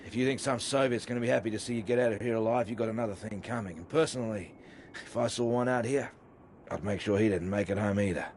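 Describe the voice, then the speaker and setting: Actor doing a gruff sardonic castaway, wounded, swearing, audio drama, noisy wind and engine behind. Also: male voice, on the low side, quick pace, darkish tone, lightly acted. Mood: bitter. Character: wounded stranded British man, gruff and bitter.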